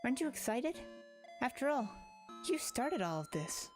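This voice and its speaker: A woman's voice: high voice, big pitch swings, moderate pace. A woman voicing a timid, earnest and unsettlingly devoted teenage monster girl in a visual novel. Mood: fervent, devoted. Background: game music.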